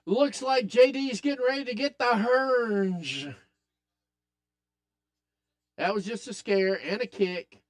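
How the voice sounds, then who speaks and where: Raspy-voiced man calling wrestling live. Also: very high voice, slow, long pauses. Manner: excitable, hypes up the action, talks to chat. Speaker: podcast host. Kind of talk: live wrestling watch-along commentary.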